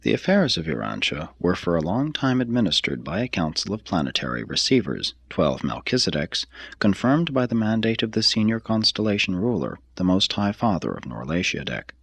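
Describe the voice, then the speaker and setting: A man reading a religious text as scripted narration, in a measured, solemn and formal manner. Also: low-pitched, sing-song, fairly bright tone, husky, no room sound. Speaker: reader.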